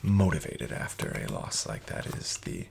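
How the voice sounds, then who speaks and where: Male casino dealer, soft and half-whispered, gravelly and lilting, ingratiating. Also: a bass voice, normal speed, slight background noise. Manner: soft-spoken, half-whispered, smooth and flattering salesman patter. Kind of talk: soft-spoken ASMR roleplay.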